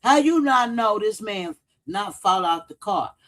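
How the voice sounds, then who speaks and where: High-pitched man, blunt livestreamer. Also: animated, unhurried, a bit bright. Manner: blunt, profane, repeats points for emphasis. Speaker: true-crime commentary livestream host. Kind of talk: casual livestream commentary, replying to viewers.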